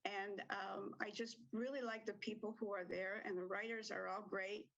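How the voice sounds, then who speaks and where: A woman's voice: mid-range voice, steady tone, normal speed, creaky, a bit bright, dull audio. An older woman who directs community theatre, answering interview questions in a chatty way with ums and small laughs.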